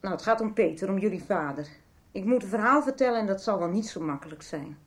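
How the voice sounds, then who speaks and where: A woman's voice: medium pitch, expressive, quick pace, lightly acted. An actress playing a serious mother of teenagers, firm yet appealing, in a Dutch radio soap.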